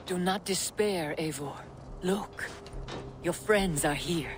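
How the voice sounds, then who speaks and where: An actress playing an old woman seer, calm and resigned, speaking gently and soothingly. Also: fairly low pitch, takes it slowly, background noise. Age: older. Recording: game audio with music behind.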